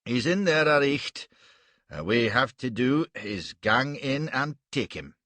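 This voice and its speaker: A man voicing a burly male warrior with a Scottish accent and a gruff, growling manner. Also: mid-range voice, expressive, slow, a bit bright, no echo, voice pushed up. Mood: urgent.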